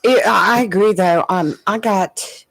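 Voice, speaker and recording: Lady co-hosting morning radio, middle aged or older, chatty, lowish voice for a woman. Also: a little slow, vocal fry, dry recording, low-quality recording. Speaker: radio co-host. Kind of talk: casual morning radio banter.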